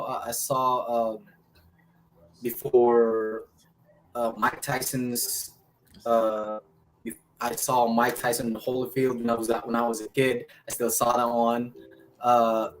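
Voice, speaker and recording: A man with a Filipino accent giving casual interview answers as a boxer, speaking haltingly with pauses mid-sentence. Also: medium pitch, fairly even intonation, a bit bright, a little hiss or hum, rough recording. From Philippines. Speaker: professional boxer, interview guest. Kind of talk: casual interview answers, recounting his life.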